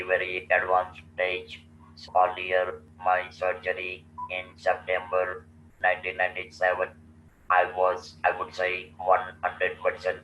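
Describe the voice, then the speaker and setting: A man, telling his own story slowly and deliberately as a guest, in a flat, low monotone voice. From India. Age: 60s. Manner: slow, deliberate, halting with restarts. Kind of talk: slow personal storytelling. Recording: roomy, distant, muffled with faint noise.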